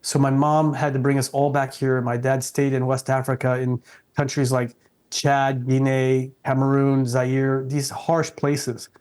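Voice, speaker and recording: Casting: middle-aged Vietnamese-born man, earnest interview guest with a level, flat tone. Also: mid-range voice, unhurried, clear, resonant tone. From South Vietnam. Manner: earnest, respectful, frequent restarts.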